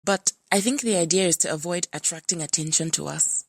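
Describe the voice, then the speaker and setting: A woman's voice: lowish voice, fairly quick, no echo. A woman playing a poised, formal and dryly spoken superheroine in an audio drama. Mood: composed, dry.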